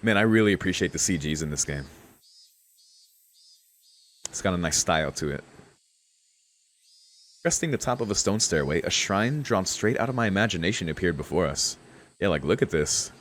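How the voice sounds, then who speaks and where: A man's voice: low voice, lively intonation, normal speed, long pauses, a bit bright, a little hiss or hum. A man streaming a visual novel, reading the text aloud and adding laid-back, informal asides. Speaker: let's play streamer. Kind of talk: reading game text aloud with casual commentary. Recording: faint game music behind the voice.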